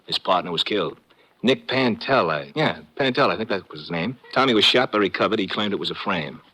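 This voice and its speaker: Jovial newspaper pal, low but sharp forceful voice, animated, slangy, vintage radio play. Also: male voice, brisk, breathy edge, full character voice. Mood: brisk, matter-of-fact. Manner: folksy, breezy, slangy. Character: breezy, jovial, well-informed newspaperman pal. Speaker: newspaper archive man. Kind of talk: 1950s radio drama acting.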